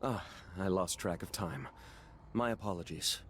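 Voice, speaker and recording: A man playing a courteous, composed elite hunter and guild master in a video game, speaking in a formal, measured way. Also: low voice, fairly bright tone, a little hiss or hum. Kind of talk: scripted video game cutscene acting. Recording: music behind the voice.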